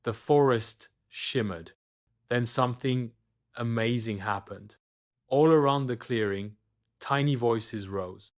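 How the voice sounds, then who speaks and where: A man's voice: medium pitch, slow. A man reading a scripted children's bedtime story as the storyteller.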